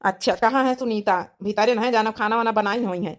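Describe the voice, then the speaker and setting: A woman's voice: fairly high pitch, a little fast, close to an everyday voice. A woman voicing a hot-headed, grumbling young village husband in an Awadhi comedy cartoon, indignant and complaining, with an Awadhi accent. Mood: casual. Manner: indignant, complaining rural speech.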